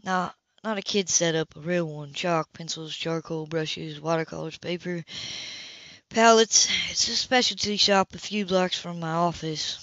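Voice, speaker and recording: A woman's voice: fairly low pitch, unhurried, fairly bright tone, no room sound, lo-fi sound. A woman reading the lines of a confident, easygoing, teasing man in an amateur audiobook. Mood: easygoing, conversational.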